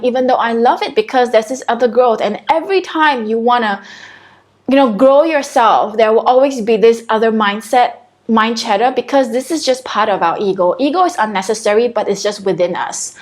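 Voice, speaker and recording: Singaporean woman, spiritual coach doing a home live stream, chatty, fairly high voice. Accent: Singaporean English. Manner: chatty, repeats and restarts phrases, talks directly to viewers. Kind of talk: casual motivational live stream. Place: her own home.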